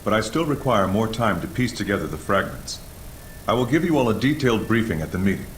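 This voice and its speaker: A methodical male analyst in a video game, fairly low in pitch and serious. His formal, deliberate speech echoes, with faint music behind. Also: big pitch swings. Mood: grave, composed.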